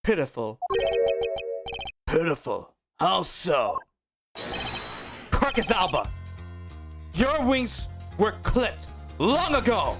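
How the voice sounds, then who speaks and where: A man voicing a calm, confident male prosecutor who reasons aloud in a measured, deliberate way over game music. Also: high voice, exaggerated voice.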